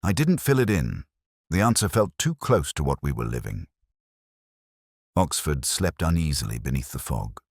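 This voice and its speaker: A man narrating a scripted first-person detective story in a measured, brooding, literary manner. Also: very deep voice, very animated, vocal fry, slightly rough, no echo, clean studio sound.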